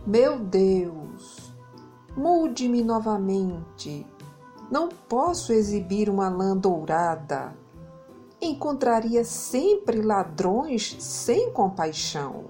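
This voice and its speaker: A woman voicing a vain, self-pitying male sheep who pleads in a drawn-out, dramatic way. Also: medium pitch, very animated, dark tone, soft background music. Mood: pleading.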